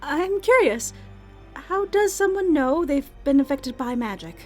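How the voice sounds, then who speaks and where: A woman's voice: sits high, expressive, measured pace, a little mellow. A woman playing a curious, anxious young arena master of ceremonies in an audio drama, hesitant and eager to please. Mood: curious, nervous. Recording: music bed under the voice.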